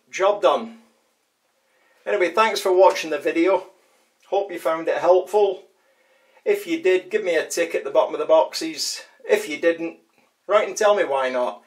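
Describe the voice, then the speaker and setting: An older man giving a casual hands-on how-to, chatty and wry with self-deprecating humour. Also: a little high. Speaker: DIY tutorial presenter. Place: small tiled bathroom shower, indoors. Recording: roomy tiled echo, distant microphone.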